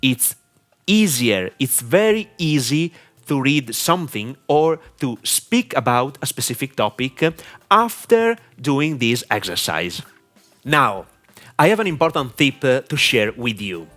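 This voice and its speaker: A man with an Italian accent, whose first language is Italian, giving a deliberate, clear instructional talk to camera with frequent "uh" fillers. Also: medium pitch, sing-song, fairly bright tone, soft background music. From Italy. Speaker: online marketing mentor.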